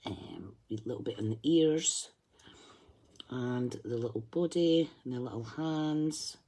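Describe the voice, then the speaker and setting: Lady doing a card making tutorial, breathy voice, chatty, hums while she colours. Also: extremely low pitch, animated, unhurried, warm tone. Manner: chatty, thinks aloud, hums while concentrating. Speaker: craft tutorial host. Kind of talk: live colouring demonstration.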